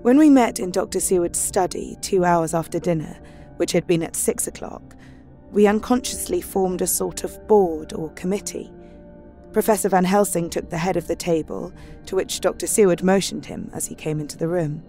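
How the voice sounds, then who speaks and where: A woman's voice: on the low side, normal speed, mellow, soft background music, close to an everyday voice. An actress playing a polite, earnest young married Victorian woman, composed and formal as she keeps a journal in an audio drama.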